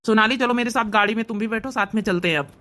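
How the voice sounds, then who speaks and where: A man's voice: sits high, racing, pushed and bright. A man voicing an earnest, emphatic young village brother with an Awadhi accent in a cartoon comedy. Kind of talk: cartoon comedy drama dialogue.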